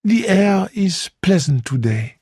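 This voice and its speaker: A reader voicing Poirot, a polite older gentleman, in a slightly raised, measured manner. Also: medium pitch, animated, slightly rough, no room sound, studio quality, pitched above the performer's own voice. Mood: courtly.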